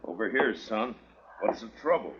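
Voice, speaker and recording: A noisy, muffled old radio recording of a man playing a low-voiced American frontier marshal. He is stern and grave, speaking tersely with steady authority, in the manner of a 1950s western drama. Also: moderate pace, natural voice. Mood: concerned. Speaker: lead actor and narrator of a radio western.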